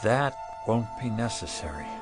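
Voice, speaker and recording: An actor playing a weary, struggling shopkeeper in a radio drama, insistent and hungry for riches. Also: male voice, lowish voice, animated, moderate pace, close to an everyday voice. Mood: wary, testing. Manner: insistent, intense, blunt. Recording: music under the dialogue.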